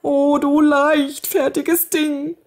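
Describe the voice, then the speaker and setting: A woman voicing a fretful old nursemaid who cries out in high, drawn-out laments. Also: level tone, a little mellow, full character voice. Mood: wailing.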